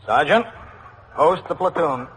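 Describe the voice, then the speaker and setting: A man playing a steady, commanding American police captain in an old radio drama, speaking and narrating in clipped, official phrases. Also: on the high side, unhurried. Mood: brisk command. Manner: matter-of-fact, clipped official speech. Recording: noisy vintage radio drama recording.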